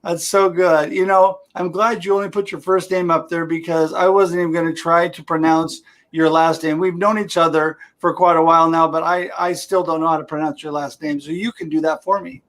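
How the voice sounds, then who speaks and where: Brief: enthusiastic male talk-show host, slightly higher voice, on a video call. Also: flat delivery, brisk, fairly bright tone. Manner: upbeat and enthusiastic. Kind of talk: live-streamed interview.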